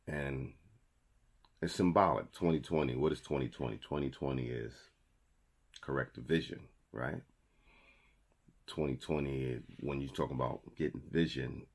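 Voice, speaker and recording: A man's voice: rumbling low, a little mellow. A man in his thirties with a New York accent, giving a motivational talk to camera in a conversational, emphatic way and repeating his key phrases. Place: small indoor studio with a logo wall.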